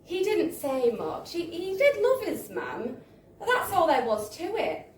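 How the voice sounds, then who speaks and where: High-pitched woman reading a story, echoey room. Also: measured pace. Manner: expressive, theatrical delivery. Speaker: reader of a book excerpt. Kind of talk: live dramatic storytelling reading. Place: live book launch in a bar. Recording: echoey live venue, distant mic, faint noise.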